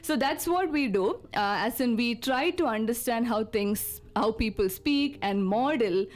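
A woman's voice: a little high, lively intonation, faint music behind. Hesitant Malayalam-speaking woman explaining research. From South India. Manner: hesitant and explanatory, many pauses and fillers. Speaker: speech technology researcher and lecturer. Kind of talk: radio interview guest.

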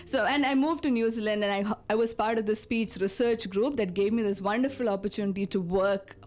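This is a woman from South India whose first language is Malayalam, speaking as a researcher and lecturer on a radio interview. She explains her work in a hesitant, careful way, with frequent pauses and fillers. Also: medium pitch, darkish tone. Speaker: speech technology researcher and lecturer.

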